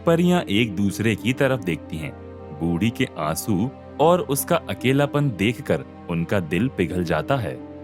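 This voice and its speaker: A man narrating a scripted animated moral story. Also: fairly low pitch, a little slow. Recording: music behind the voice.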